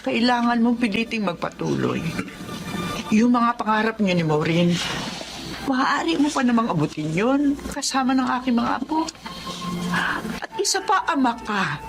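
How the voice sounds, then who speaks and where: A woman's voice: a little high, animated, normal speed, low-quality recording. A woman with a Filipino accent playing a stern but caring older mother-in-law in a radio drama, scolding and earnest. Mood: earnest urging. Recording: music underneath.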